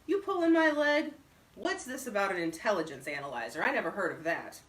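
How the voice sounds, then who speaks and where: A woman voicing a talkative, boastful, genial older man in a storybook, loud, emphatic and folksy. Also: mid-range voice, big pitch swings, a little fast, voice pushed up. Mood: incredulous. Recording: roomy echo, distant microphone.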